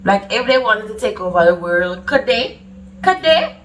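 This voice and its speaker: A woman from Trinidad and Tobago with a Trinidadian accent, hosting a reaction video and chatting casually, animated and exclaiming as she reacts. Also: medium pitch, normal speed, recorded from a distance, a little hiss or hum. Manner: animated, exclaiming and repeating herself.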